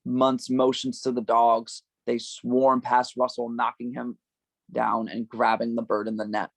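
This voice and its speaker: A man narrating a screenplay's stage directions at a table read, with a gravelly voice. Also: mid-range voice, completely flat delivery, measured pace, dry recording.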